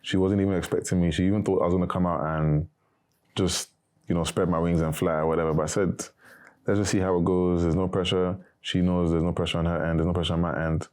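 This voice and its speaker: Man, young adult, very deep voice, monotone, casual interview answers. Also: fast. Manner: monotone, not very animated. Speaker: evicted reality show contestant.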